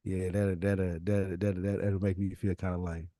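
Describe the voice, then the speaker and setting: A man's voice: low-pitched, flat delivery, a little slow, warm tone, no room sound, clean studio sound. A man co-hosting a podcast in casual chat, thinking aloud reflectively and circling back over his words. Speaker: podcast co-host.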